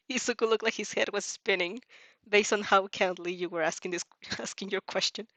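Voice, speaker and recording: A woman's voice: mid-range voice, fairly even intonation, normal speed, bright, forceful tone, no room sound, low-quality recording. A woman reading a story aloud expressively, giggling and stumbling over words as she casually reacts. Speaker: reader reacting to fan fiction.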